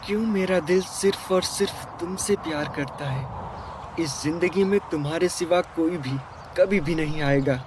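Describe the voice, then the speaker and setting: Casting: actor as sincere lovelorn young male boss, animated melodrama, courteous, slightly high voice. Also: normal speed, noisy background, natural voice. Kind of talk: Hindi animated melodrama dialogue. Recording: music bed behind the voice.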